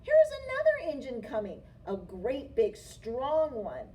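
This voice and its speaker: A woman's voice: high-pitched, huge pitch swings, a little slow, mellow, heavily acted. A woman voicing a hopeful little toy clown in a storybook, calling out encouragingly. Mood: eager, hopeful. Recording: distant mic, faint noise.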